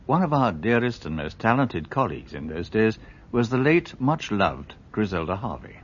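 Husky, low-voiced documentary presenter. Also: male voice, big pitch swings, normal speed. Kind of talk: scripted narration. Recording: dull lo-fi archive.

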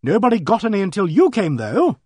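A man's voice: fairly high pitch, sing-song, normal speed, breathy edge, no room sound, clean studio sound, pitched above the performer's own voice. A man voicing an eccentric, forthright vicar's wife in a storybook, with an abrupt, emphatic and earnest yet vague manner. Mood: accusing. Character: eccentric, forthright, absent-minded vicar's wife of uncanny knowledge.

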